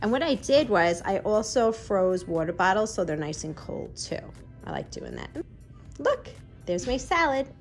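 A woman, chatting brightly to camera as a family vlogger, filmed on a handheld phone in her kitchen and car. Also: medium pitch, huge pitch swings, moderate pace, creaky, faint music behind. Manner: bubbly, chatty, addresses viewers directly. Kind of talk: casual vlog chat to camera.

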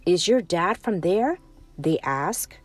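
A woman narrating a children's picture book as a storytime host, with expressive, deliberate phrasing. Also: on the low side, no echo, far from the mic. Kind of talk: scripted picture-book read-aloud. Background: music.

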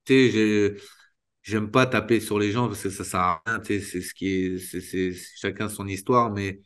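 A man in his thirties, a former footballer, talking candidly in an interview over a video call, restarting sentences and filling pauses often. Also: on the low side. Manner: spontaneous, halting, many restarts and fillers. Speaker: retired footballer guest. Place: small room indoors. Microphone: video call webcam.

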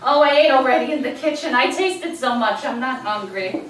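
A woman acting an elderly grandmother in a stage play, nagging and doting by turns. Her high voice moves up and down a lot, caught from far away in a noisy, very echoey theater. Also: animated, normal speed. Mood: doting, fussing. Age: older. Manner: nagging, fussing. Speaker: stage actor. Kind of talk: live stage comedy-drama acting. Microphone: far camera microphone. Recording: echoey theater, distant mic, clear noise.

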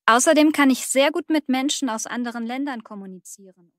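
A friendly young female job applicant with a fairly high voice, read by a woman. She explains herself readily, recorded dry and close. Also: fairly quick, fairly bright tone, dry recording. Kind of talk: scripted learner dialogue.

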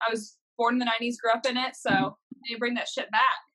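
A woman's voice: on the high side, level tone, quick pace, pushed and bright, poor audio. A thirty-year-old American woman chatting informally as a podcast co-host over a phone video call. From United States. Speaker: podcast co-host, professional wrestler. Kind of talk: casual chat. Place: plain indoor room.

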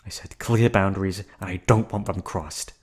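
A man voicing a menacing yandere captor in an ASMR roleplay, with a put-on accent and a calm, coldly threatening delivery. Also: deep voice, moderate pace, slightly rough. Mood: cold menace. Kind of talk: ASMR roleplay audio drama.

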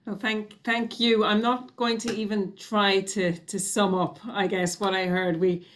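A woman's voice: mid-range voice, flat delivery, a little slow, slight background noise. A woman in her thirties moderating an online panel, wrapping up with hesitant speech full of ums and restarts over a video call. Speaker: host and moderator. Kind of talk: closing remarks of a panel.

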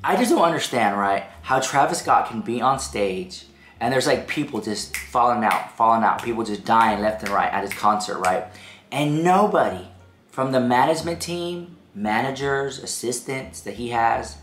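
A man's voice: mid-range voice, lively intonation, normal speed, fairly bright tone. Congested young man, sassy gossip chatter, echoey indoor room, stuffed-up voice. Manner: sassy, keeps calling viewers "girl". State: congested from a head cold. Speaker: celebrity gossip vlogger. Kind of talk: chatty gossip commentary to camera. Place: indoor room, seated on a throne-like chair.

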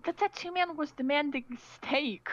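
A woman playing a friendly, anxious young survivor in a casual, expressive game roleplay style. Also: high-pitched, moderate pace, lightly acted. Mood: exasperated. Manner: casual, expressive, hesitant repetitions. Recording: muffled, slightly noisy home mic.

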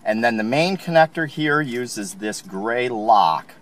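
A man calmly explaining, step by step, how to install a car part, recorded inside a car. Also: medium pitch, slow. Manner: calm, plain instructional. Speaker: installation instructor.

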